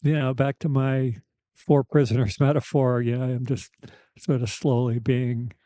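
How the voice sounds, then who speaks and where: Soft-voiced mature man, mellow and halting. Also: medium pitch, level tone, dry recording, studio quality. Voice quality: soft, slightly weakened voice; slight lisp, thick-tongued articulation. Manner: halting, candid, wry. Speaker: podcast host. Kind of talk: reflective personal conversation.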